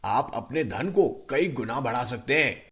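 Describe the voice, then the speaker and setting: A man voicing a sly, wicked merchant with a persuasive manner in an animated storybook. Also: mid-range voice, a little slow. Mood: sly persuading.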